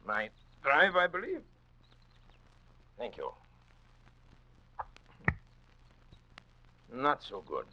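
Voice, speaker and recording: An actor playing a suave, menacing master criminal in a 1940s radio drama, speaking with deliberate, theatrical and mockingly polite delivery. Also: male voice, medium pitch, huge pitch swings, sharp tone, exaggerated voice. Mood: smooth mockery. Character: suave, menacing male master criminal, boastful confessing narrator. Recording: dull, noisy old radio recording.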